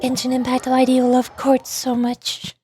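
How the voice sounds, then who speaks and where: A woman's voice: high-pitched, monotone, normal speed, mellow, soft background music. A woman livestreamer chatting casually in a playful, sleepy manner. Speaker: VTuber streamer voice-acting game dialogue.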